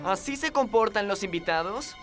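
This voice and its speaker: A woman's voice: medium pitch, lively intonation, normal speed, lightly acted. A woman voicing a friendly, easygoing young boy in a children's cartoon dub. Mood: scolding. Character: easygoing, friendly young boy who looks after animals. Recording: loud music behind the voice.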